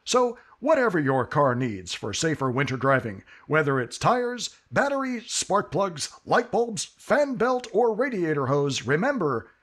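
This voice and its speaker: A man announcing a 1950s-style radio commercial in a brisk, upbeat read. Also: fairly high pitch, very animated, fairly bright tone.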